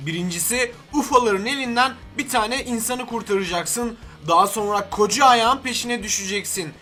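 A man's voice: extremely high pitch, moderate pace, sharp tone. A man voicing a bossy superhero who hands out missions in a comic game cartoon. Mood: instructing. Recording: music behind the voice.

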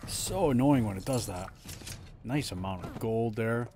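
A man's voice: fairly low pitch, animated, measured pace, background noise. A man giving casual live gameplay commentary, thinking aloud in short, slangy remarks. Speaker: video game streamer. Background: game music.

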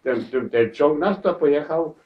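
An elderly man recalling his wartime memories, halting, with many restarts and repeated words, in a crowded living room. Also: on the high side, expressive. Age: older. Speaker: war veteran interviewee. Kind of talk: spoken war memories. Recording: distant, dull camera audio.

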